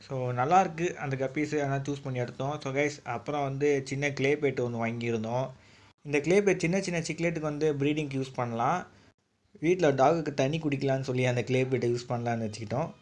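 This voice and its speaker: A man's voice: medium pitch, fast talker, a little mellow. A man giving casual, enthusiastic vlog commentary as a channel host, often addressing his viewers directly while filming by hand. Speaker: vlogger, aquarium channel host.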